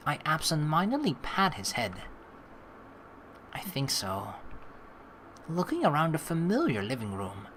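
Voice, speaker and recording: A woman hosting a let's play, reading a visual novel aloud as expressive narration. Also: sits low, a little hiss or hum. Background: game music.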